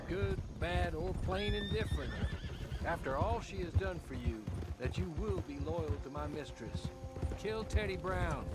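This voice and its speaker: High-voiced earnest Old West manservant. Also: male voice. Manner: measured, halting, earnest. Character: grave, loyal manservant of an Old West widow. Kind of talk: dramatic game cutscene monologue. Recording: prominent music behind voice. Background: loud music, horse sounds.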